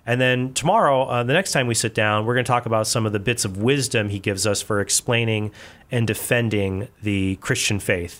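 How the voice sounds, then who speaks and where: A man in a mid-range voice hosts a casual podcast, chatty and curious. He sets up topics and keeps asking his co-host to clear things up. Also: medium pitch, a bit bright. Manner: curious, asks questions, chatty.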